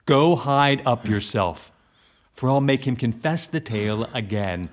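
An actor playing a scheming, two-faced soldier villain in a Shakespearean tragedy, speaking in an insinuating, urgent, theatrical manner. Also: male voice, mid-range voice, lively intonation, measured pace, lightly acted. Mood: coaxing, goading. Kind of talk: Shakespearean tragedy acting in modernized English.

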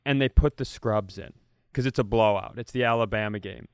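A man's voice: on the low side, brisk, smooth, dry recording, clean studio sound. A man co-hosting a comedy podcast, telling casual comic stories with profane, jokey banter.

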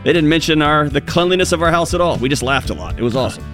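A man's voice: fairly high pitch, rapid-fire, a bit bright, faint music behind. A man co-hosting a talk show, speaking earnestly in casual conversation with frequent restarts. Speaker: co-host.

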